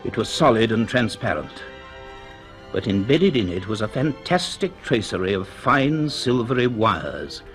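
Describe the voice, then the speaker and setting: A man giving deliberate, suspenseful scripted narration for a radio drama. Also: medium pitch, a bit bright.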